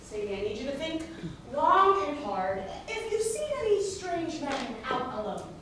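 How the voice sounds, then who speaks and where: A woman playing a brisk, commanding town police commissioner in a stage comedy, authoritative and pointed. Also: high voice, big pitch swings, sharp tone, distant mic, full character voice. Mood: stern, threatening. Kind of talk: musical comedy stage acting. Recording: echoey hall recorded from afar, noisy.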